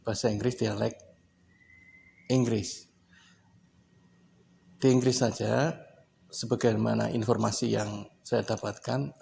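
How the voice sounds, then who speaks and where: A man from Indonesia explaining a language point in a casual teaching manner, unhurried and in short phrases. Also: mid-range voice, gravelly, darkish tone, slight background noise. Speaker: English teacher.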